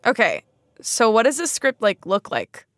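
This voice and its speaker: A woman's voice: a little high, very animated, a little slow, no echo, clean studio sound. A woman hosting a podcast in a casual, chatty way, playful and self-deprecating, often saying "like". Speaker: podcast host.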